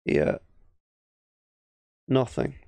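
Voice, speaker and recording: A man's voice: extremely slow, mellow, no room sound. A man with an English accent giving casual, thinking-aloud game commentary as a chess streamer, often muttering half-finished thoughts. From England.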